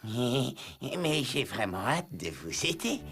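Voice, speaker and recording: A performer voicing a timid, anxious animal in a French children's cartoon, hesitant and expressive. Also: medium pitch, slow, over music. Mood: weary.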